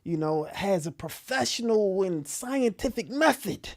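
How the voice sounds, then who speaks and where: A man hosting a radio talk show in a studio, animated and full of slang, repeating himself as he speaks. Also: high-pitched, a little slow, creaky.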